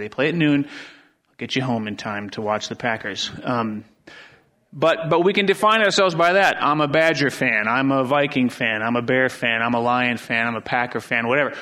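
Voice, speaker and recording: Middle-aged guy from wisconsin preaching in church, chatty, lots of restarts, mid pitch. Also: pushed and bright. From Wisconsin, USA. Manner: conversational, rambling with repeated restarts. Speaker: pastor. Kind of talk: sermon. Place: church sanctuary.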